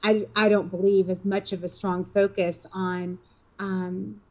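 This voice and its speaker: A woman's voice: mid-range voice, level tone, measured pace, a little mellow. Fifties woman, interview guest, rambling conversational delivery. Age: 50s. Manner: rambling, many fillers and restarts.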